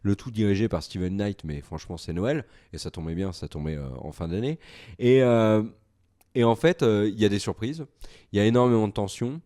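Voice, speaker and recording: Male solo reviewer, French accent, slightly low voice, hesitant and filler-heavy delivery. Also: a little fast, dark tone. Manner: hesitant, many fillers and restarts. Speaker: video reviewer. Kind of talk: solo casual review to camera.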